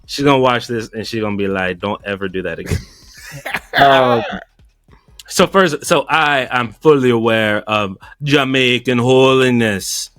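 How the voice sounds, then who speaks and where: A man co-hosting a reaction talk show, animated and joking, swinging from low asides to loud outbursts. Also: unhurried, dry recording, faint music behind. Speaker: podcast co-host. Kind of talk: casual reaction chat.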